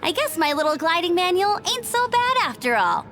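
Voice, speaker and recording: A woman's voice: extremely high pitch, big pitch swings, moderate pace, over music, full character voice. A young woman playing a perky, brave knight scout in a video game, chirpy and earnest. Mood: sheepish, chatty. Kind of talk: animated video game voice acting.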